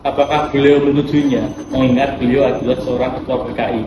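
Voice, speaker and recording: A man plays a prudent senior nationalist leader in a historical film, wording things formally. His delivery is quick, and the sound is reverberant with noticeable noise. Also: medium pitch, steady tone, muffled recording, close to an everyday voice. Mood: concerned. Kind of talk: amateur historical film acting, formal scripted dialogue. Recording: echoey room, distant mic, clear noise.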